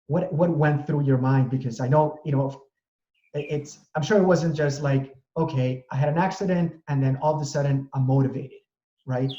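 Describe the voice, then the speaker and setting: Rambling host in an echoey room. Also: male voice, on the high side, normal speed, warm tone. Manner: rambling, many restarts and fillers. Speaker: interview host, real estate broker. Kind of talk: casual conversational interview. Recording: echoey room, dull audio.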